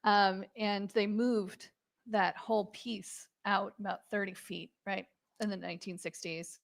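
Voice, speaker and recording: A woman's voice: mid-range voice, little pitch movement, unhurried, vocal fry. A woman from Massachusetts giving chatty live commentary, with lots of "um" fillers. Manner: chatty, with many "um"s.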